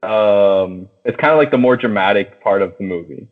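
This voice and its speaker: A man hosting a casual film chat, talking in slangy, profane language and steering the discussion like an excited fan. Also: fairly low pitch, normal speed. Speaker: podcast host. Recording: tinny phone-like sound.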